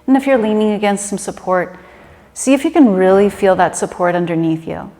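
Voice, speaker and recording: A woman leading a guided meditation in a gentle, unhurried and conversational manner. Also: medium pitch. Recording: reverberant room with long echo.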